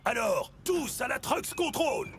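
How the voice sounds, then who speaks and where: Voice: medium pitch, very animated, measured pace, gravelly, slight background noise. An actor voicing a kindly, enthusiastic old grandfather truck in a children's cartoon, explaining and rallying with declamation, over music. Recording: loud music behind the voice.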